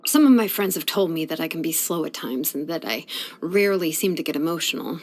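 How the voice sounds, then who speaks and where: An actress playing a sleepy, laid-back young woman blacksmith in a fantasy video game, speaking in a casual, unhurried way. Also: low voice, expressive. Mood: casual, musing. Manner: unhurried, casual, hesitant drawl with sighs. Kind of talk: English video game voice acting.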